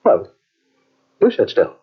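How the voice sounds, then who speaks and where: An actor playing a brave man in an old-time radio drama, speaking in an earnest, controlled, halting way. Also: close to an everyday voice. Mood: wary, uneasy. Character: brave male companion trapped in a tomb, quietly reassuring. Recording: dull, muddy old cassette radio recording.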